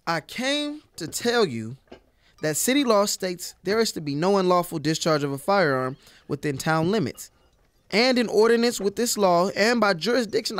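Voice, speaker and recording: Man acting a high-voiced, earnest Western deputy. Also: fairly bright tone, dry recording. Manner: hesitant, stammering. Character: nervous, reluctant young deputy from Chicago, earnest and wordy. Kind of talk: audio play acting.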